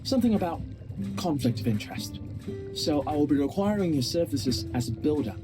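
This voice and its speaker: An actor playing a fussy, self-important scientist in a video game, speaking in a formal, pedantic way. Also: male voice, a little high, animated, brisk, lightly acted, low-quality recording. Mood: precise, self-satisfied. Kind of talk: video game character acting. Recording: music behind the voice.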